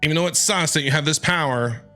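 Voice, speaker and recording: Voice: medium pitch, fast talker, sharp tone, faint music behind. A streamer giving casual, teasing, slangy commentary while reacting to a game. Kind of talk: casual playful gaming commentary.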